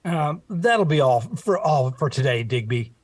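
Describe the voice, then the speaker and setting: Casting: actress for a radio-comedy music teacher; deep voice, recorded away from the mic. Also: female voice, lively intonation, unhurried. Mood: mild. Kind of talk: old-time radio comedy acting. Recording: distant, noisy microphone with clicks.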